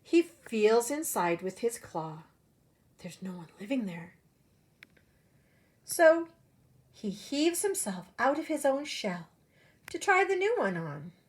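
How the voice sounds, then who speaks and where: High-voiced woman reading to young children. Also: slow pace, pauses often. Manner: expressive, simple child-directed phrasing with direct questions. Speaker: preschool teacher reading a storybook. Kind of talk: read-aloud storytelling for young children.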